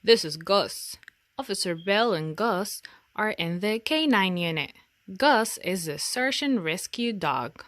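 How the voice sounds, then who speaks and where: Female storytime reader for little kids, expressive and deliberate, with very varied intonation and a slow delivery. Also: medium pitch, no echo, clean studio sound. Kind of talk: picture book read-aloud for young children.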